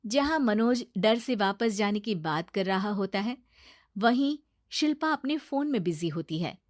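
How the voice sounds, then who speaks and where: A woman's voice: mid-range voice, expressive, measured pace, dry recording, clean studio sound. A woman narrating a scripted children's story.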